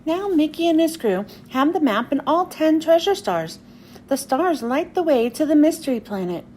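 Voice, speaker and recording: A woman's voice: high voice, sing-song, normal speed, dark tone. A woman reading a children's storybook aloud as narrator, expressively, as if to young children. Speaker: children's book reader. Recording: faint background noise.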